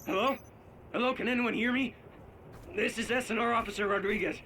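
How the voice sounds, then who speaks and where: An actor playing a shaken security officer in a video game, speaking urgently and haltingly. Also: male voice, very high voice, steady tone, a crowd in the background. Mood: shaken, alarmed. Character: panicked male security officer reporting a disaster. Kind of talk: dramatic video game voice acting. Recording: loud music behind.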